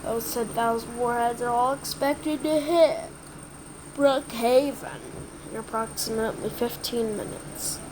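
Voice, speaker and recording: A woman performing an amateur scripted emergency roleplay, halting and often repeating phrases, with read-out announcements. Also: a little high, darkish tone, slight background noise, poor audio. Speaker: creator performing a hobby emergency alert roleplay.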